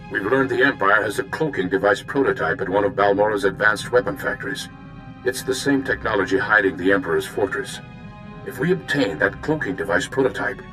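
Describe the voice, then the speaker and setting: A man playing a measured Republic diplomat. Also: on the low side, lightly acted, low-quality recording. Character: earnest male Republic diplomat, calm and measured. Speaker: mission contact. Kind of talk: video game voice acting. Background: music.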